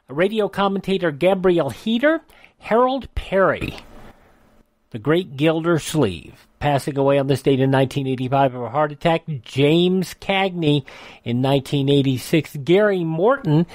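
A man's voice: on the high side, darkish tone, dry recording. An American man hosting radio, reading a this-day-in-history rundown in a casual way. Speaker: radio host.

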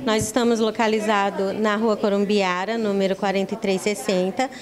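A woman's voice: mid-range voice, flat delivery, moderate pace. A middle-aged woman with a Brazilian accent giving an explanatory interview as a pharmacy staff member, sometimes repeating words. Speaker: municipal pharmacy staff spokesperson. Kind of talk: interview answer. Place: small busy pharmacy office, indoors. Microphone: handheld reporter's microphone. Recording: busy room chatter behind.

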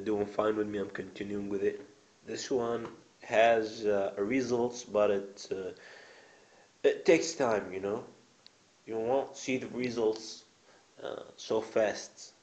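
A man's voice: lowish voice, a little mellow, highs cut off. A man casually showing his gym supplements to a camera, rambling with many fillers and blunt, crude words, recorded on a built-in mic in a small room. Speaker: vlogger. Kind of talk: casual product show-and-tell.

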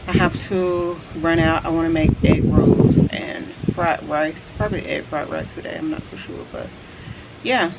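A woman in her forties, a vlogger, chatting casually to camera on a house porch, relaxed and rambling with lots of fillers. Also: sits low, normal speed, highs cut off. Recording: outdoor camera audio with clear background noise.

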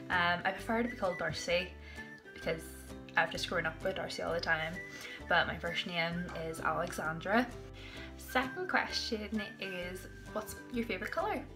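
A young woman with a Northern Irish accent chatting casually to a phone camera in a small bathroom, giggling and dropping into whispered asides. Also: medium pitch. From Northern Ireland. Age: young adult. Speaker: vlogger. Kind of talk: casual chat. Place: small tiled bathroom, indoors. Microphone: phone camera at arm's length.

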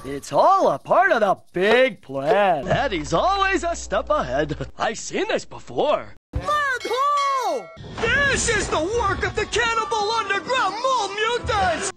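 Dim, goofy, exuberant cartoon boy; slow-paced, swinging pitch, music behind. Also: wildly swooping pitch, full character voice. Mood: wild excitement. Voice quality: goofy, dopey voice. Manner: loud, exuberant, childlike exclamations. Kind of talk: animated cartoon voice acting.

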